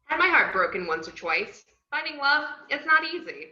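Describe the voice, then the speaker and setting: A roomy, slightly dull recording of a brash, unhinged young antiheroine, played by a woman in a table read. She tells her own story in a bright, cheeky voice that swoops all over. It is bubbly and expressive. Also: sits high, moderate pace, full character voice. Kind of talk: first-person scripted narration in an amateur table read. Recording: roomy, muffled online call audio.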